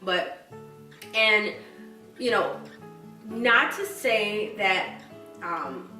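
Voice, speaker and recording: A woman's voice: mid-range voice, very slow, sharp tone, echoey room, recorded from a distance, soft background music. A woman with a stutter, talking in a casual, reflective personal chat to her viewers, with fillers and restarts. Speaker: vlogger.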